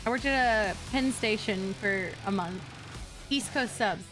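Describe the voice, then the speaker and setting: A woman's voice: mid-range voice, measured pace, music in the background, rough recording. A young adult woman from Kentucky, chatting casually on a live stream and answering her chat.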